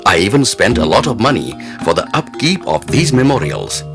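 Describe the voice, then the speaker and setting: A man acting a proud, easily offended Mughal emperor in a pompous, commanding manner for an animated moral tale. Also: lowish voice, normal speed, music in the background. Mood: self-satisfied.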